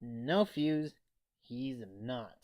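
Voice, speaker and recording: A man's voice: mid-range voice, extremely slow, darkish tone, dry recording. A teenage male reviewer giving an informal, sweary, scripted comic recap. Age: teens. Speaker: anime reviewer.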